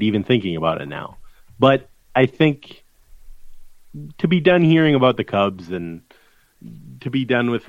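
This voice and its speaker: Animated male sports-pod co-host with a lively, swinging delivery and a dull, dry lo-fi sound. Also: lowish voice, no room sound. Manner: animated, ranting. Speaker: podcast co-host. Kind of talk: casual sports chat.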